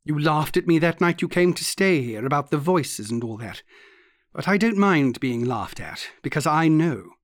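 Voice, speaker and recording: A man's voice: a little high, lively intonation, normal speed, dry recording, clean studio sound, natural voice. A man voicing an intense, haunted young man who speaks earnestly and insistently in an audiobook story. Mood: brooding. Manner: earnest, insistent, guttural at times.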